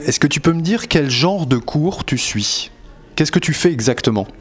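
A man interviewing volunteers and a student, asking polite, measured questions. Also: a little high, lively intonation, slightly rough.

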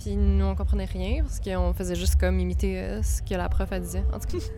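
A woman's voice: mid-range voice, fairly even intonation, a little fast. A woman musician from Quebec, an English speaker, answering interview questions hesitantly with many fillers. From Quebec, Canada. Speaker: musician guest.

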